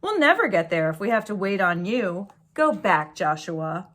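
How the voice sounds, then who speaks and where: A woman's voice: mid-range voice, big pitch swings, moderate pace, a bit bright. A woman voicing mocking, impatient young sheep in a children's storybook, dismissive in manner. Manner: taunting, dismissive.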